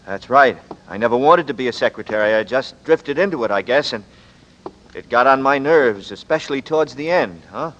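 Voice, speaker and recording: An actor playing a weary, confused man on the run in a 1940s radio drama, talking haltingly and narrating his own story. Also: medium pitch, lively intonation, a bit bright, a little hiss or hum, natural voice. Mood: uneasy. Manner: hesitant, halting, bewildered. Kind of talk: 1940s radio acting with first-person narration. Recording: dull old radio recording with music behind.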